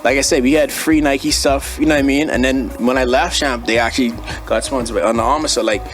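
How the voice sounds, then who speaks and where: A young man from the Bahamas speaks with a Bahamian accent in a casual interview. His talk is laid-back and slangy, peppered with bro, at a middling pitch. Music plays behind his voice. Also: steady tone, quick pace. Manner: laid-back, slangy, frequently says bro and you know what I mean. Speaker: interview guest, former athlete and brand owner. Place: studio.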